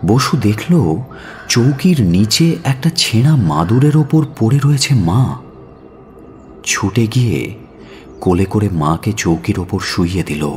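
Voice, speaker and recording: A man with a very low voice narrates a dramatic story. He is solemn and expressive, taking his time over each phrase, with music clearly behind him. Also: slow, pauses often.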